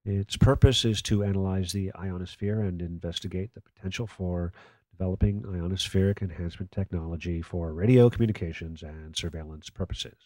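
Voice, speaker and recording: A man acting as a low-pitched conspiracy radio host in a radio play. He is skeptical and dryly sarcastic, speaking in a measured way, close and dry on the microphone. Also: fairly even intonation, warm tone, no echo, lightly acted. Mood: sardonic. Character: sardonic, skeptical late-night conspiracy radio call-in host.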